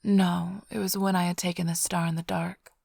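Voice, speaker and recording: A woman performs a female vampire thief for an ASMR roleplay, smug and flirtatious. Her voice is lowish and very breathy, often dropping into a whisper. She teases the listener in an unhurried drawl, recorded close and dry. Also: little pitch movement, darkish tone, dry recording. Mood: teasing, nostalgic. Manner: teasing, languid, mock-affectionate drawl.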